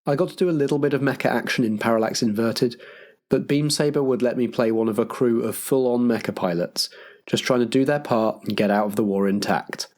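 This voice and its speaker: A man's voice: mid-range voice, a little fast, a little mellow. Man hosting with scripted announcements. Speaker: podcast host and compere.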